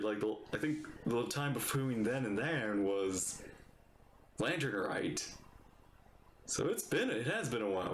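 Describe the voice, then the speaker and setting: A man's voice: mid-range voice, noisy background. A man doing casual live game reaction commentary, with a hesitant, rambling manner. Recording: roomy echo, game music behind.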